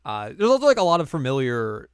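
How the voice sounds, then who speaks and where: A man's voice: medium pitch, huge pitch swings, fast talker, clean tone, no room sound, clean studio sound. A man co-hosting a podcast in casual chat, rambling with lots of fillers and frequent laughs. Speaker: podcast co-host.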